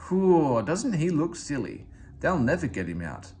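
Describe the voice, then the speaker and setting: Jeering storybook schoolboys, acted by a grown man, full of gleeful mockery. The pitch is lifted and bouncy. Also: sing-song, measured pace, a little mellow, a little hiss or hum. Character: jeering, gleeful little boys chanting a taunt.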